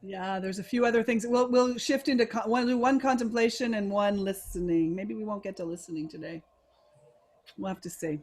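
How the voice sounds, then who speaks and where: A woman's voice: mid-range voice, normal speed, a little mellow. An American woman teacher trainer leading an online workshop over a video call, encouraging and playful as she guides the group. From USA. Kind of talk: warm, upbeat workshop facilitation.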